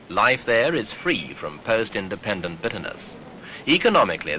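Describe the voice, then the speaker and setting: A man narrating a 1970s documentary newsreel in a formal, measured, authoritative way, on an archival soundtrack. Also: medium pitch, pushed and bright, a little hiss or hum, rough recording.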